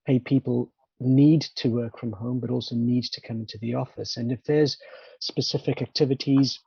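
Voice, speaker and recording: Male panelist, husky and hesitant, dry close sound, muffled call line. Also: medium pitch, no echo. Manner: hesitant, many "um"s and restarts. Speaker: webinar panelist. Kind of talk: webinar panel answer.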